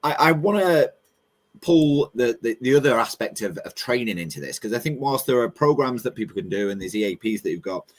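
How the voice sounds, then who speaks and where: A man's voice: mid-range voice, animated, brisk. A man hosting a webinar panel on a video call, asking questions with a lot of hesitant restarts and fillers. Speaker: webinar host. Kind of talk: moderating a panel discussion.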